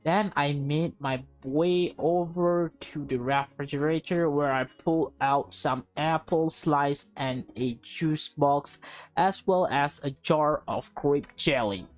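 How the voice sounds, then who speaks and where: Low-voiced woman reading aloud casually. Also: takes it slowly. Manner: chatty, addresses viewers directly. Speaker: let's play host reading a visual novel.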